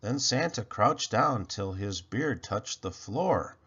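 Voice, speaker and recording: A man reading a rhyming picture book aloud to children in a theatrical, deliberate way. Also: lowish voice, lively intonation, bright, forceful tone, breathy edge, dull audio. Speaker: children's storybook reader.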